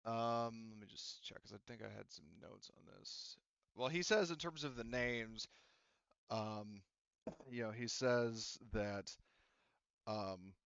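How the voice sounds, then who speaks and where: A man's voice: on the low side, fairly bright tone, smooth, no echo, highs cut off. A man running a tabletop game as game master, relaying a character's offer in a rambling way with lots of fillers. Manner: rambling, many fillers like "you know" and "like".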